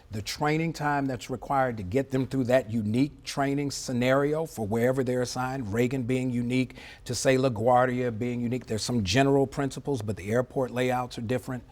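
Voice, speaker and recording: A middle-aged man with a mid-range voice gives expert commentary in a TV interview. He is articulate and measured, leaning on key words for emphasis and checking that the listener follows. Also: medium pitch, steady tone, darkish tone. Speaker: former air traffic controller, interview guest. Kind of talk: live TV news interview, explanatory commentary.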